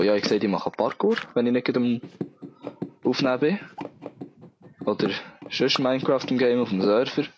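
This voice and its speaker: A man's voice: lowish voice, noisy background. A young man with a Bernese Swiss German accent, rambling and mocking himself while playing a game, with a cold. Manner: rambling, self-mocking, thinking aloud. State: has a cold. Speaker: let's play gamer. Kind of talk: casual gameplay commentary.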